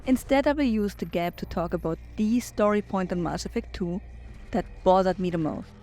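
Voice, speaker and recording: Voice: mid-range voice, normal speed, darkish tone, faint music behind. A game video commentator speaking casually, with wry, self-mocking remarks.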